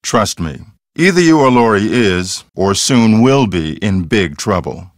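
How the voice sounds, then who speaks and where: A man's voice: on the low side, lively intonation, unhurried, no room sound, clean studio sound. A man playing a cocky, earnest TV ghost hunter in a video game, explaining things in a theatrical, self-assured way. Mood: smug.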